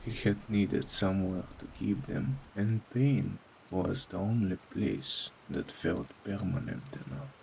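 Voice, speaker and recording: Slow, gravelly low-voiced man narrating. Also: a little mellow, a little hiss or hum, rough recording. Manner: solemn, dramatic, deliberate phrasing. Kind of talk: scripted horror story narration. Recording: faint rain behind voice.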